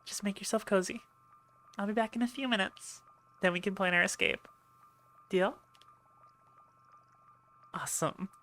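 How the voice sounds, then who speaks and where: A woman's voice: medium pitch, a little slow, long pauses, a bit bright, lightly acted. A woman playing a chatty, teasing and reassuring medic aboard an alien warship in an ASMR roleplay. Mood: warm, encouraging. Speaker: audio roleplay voice actor. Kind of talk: ASMR roleplay, one-sided conversation.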